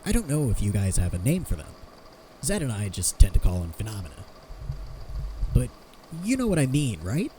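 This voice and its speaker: A man's voice: lowish voice, huge pitch swings, fairly quick, long pauses, dark tone, slight background noise, rough recording. A man playing an eager, self-deprecating amateur inventor who rambles through explanations in a conversational fan audio drama. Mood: sheepish, earnest. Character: eager amateur inventor explaining his homemade theory of strange phenomena.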